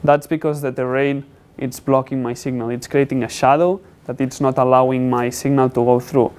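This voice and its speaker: Male student presenter, English, clear smooth voice, medium pitch, explanatory and halting delivery. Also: level tone, a little mellow. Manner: halting, non-native English phrasing. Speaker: student presenting a capstone project.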